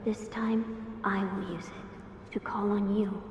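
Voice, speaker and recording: A woman voicing a solemn, resolute young boy of noble blood in a video game, speaking formally and gravely. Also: medium pitch, flat delivery, slow, darkish tone, dull audio, a little hiss or hum, close to an everyday voice. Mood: quiet, resolute. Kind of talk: dramatic video game acting. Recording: echoey hall reverb. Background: music.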